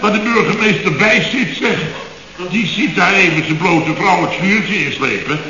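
A man's voice: high voice, expressive, normal speed, crowd noise. An actor playing an indignant middle-aged company boss in a radio comedy, sarcastic and sputtering as he repeats himself. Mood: flustered. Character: blustering, indignant middle-aged Dutch building contractor boss. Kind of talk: 1970s radio comedy acting. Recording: dull, echoey old radio recording.

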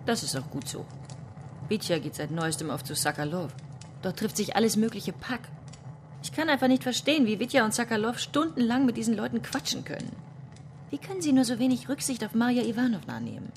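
An actress playing an embittered, disapproving wife and mother in a German radio drama, sharp-tongued and dismissive. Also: a little high, animated, brisk, a little hiss or hum. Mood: indignant.

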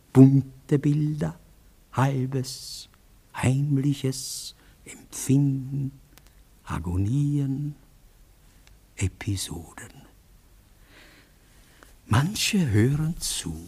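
A man reciting poetry as a dramatic prologue in a theatrical way, lingering over the phrases with breathy softness. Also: mid-range voice.

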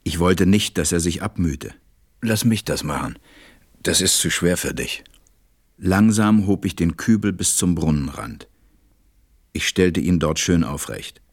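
A man acting a reflective, tender pilot who narrates a radio play in a measured, gentle way. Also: low voice, lively intonation, full character voice. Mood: wondering. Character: reflective adult male pilot, tender storyteller.

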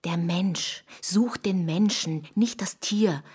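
An actress playing a cool, calculating political confidante who speaks in a controlled, persuasive way in a radio drama. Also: female voice, on the low side, takes it slowly, a little mellow, husky, heavily acted. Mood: cool, reassuring. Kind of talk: intimate radio drama dialogue.

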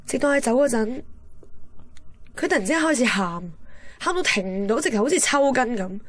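A woman's voice: on the high side, lively intonation, brisk, dry recording. An actress playing a candid, guarded young woman with a Hong Kong accent, frank and composed in a radio drama. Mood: reflective. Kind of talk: radio drama narration and dialogue.

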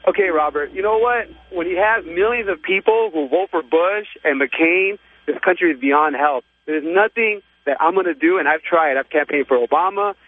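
A man's voice: sits high, moderate pace, hardly any pauses, no room sound. A man from Los Angeles calling in to a radio show, sounding indignant and exasperated. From Los Angeles, United States. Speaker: caller. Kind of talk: call-in opinion. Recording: tinny phone line.

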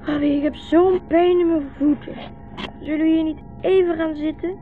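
A woman's voice: very high-pitched, steady tone, moderate pace, faint music behind. A woman playing a young boy, a hungry, footsore and trusting guide, earnest and observant, in a 1960s radio drama. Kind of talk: 1960s radio play acting. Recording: muffled, hissy 1960s radio recording.

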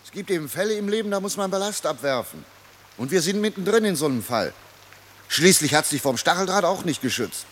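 A man's voice: high voice, very animated, brisk, fairly bright tone, slight background noise. An actor playing a bossy, cocksure fugitive man who scolds and lectures his comrade impatiently, in a German radio play. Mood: urging.

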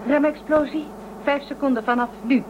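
A woman's voice: high-pitched, steady tone, normal speed, close to an everyday voice. An actress playing a composed, practical shuttle co-pilot in a science fiction radio drama, precise in her speech. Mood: focused, tense. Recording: dull, very noisy craft ambience.

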